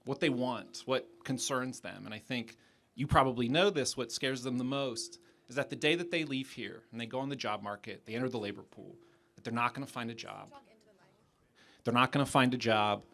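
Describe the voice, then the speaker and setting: American guy professor at a debate, talks fast, polite but long-winded, medium pitch. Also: a bit bright. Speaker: sociology professor, audience questioner. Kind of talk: spoken question at live public debate.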